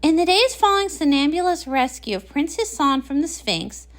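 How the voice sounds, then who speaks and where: A woman performing as a storybook narrator, reading a scripted pony legend in a measured storytelling manner. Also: high voice, big pitch swings.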